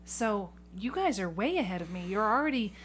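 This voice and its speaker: A woman in her late thirties speaks at a medium pitch as a podcast host. Her conversational delivery is emphatic, with words repeated for stress. Some noise sits faintly behind her voice. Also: sing-song, fairly quick. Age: late 30s. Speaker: podcast host, community theatre director. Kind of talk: conversational interview. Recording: noticeable background noise.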